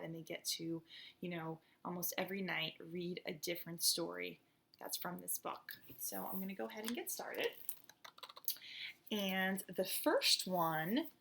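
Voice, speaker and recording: A school principal, a woman born in the United States, talks in a relaxed, chatty way with many fillers as she introduces and reads a storybook aloud to children. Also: fairly low pitch, creaky, a little hiss or hum.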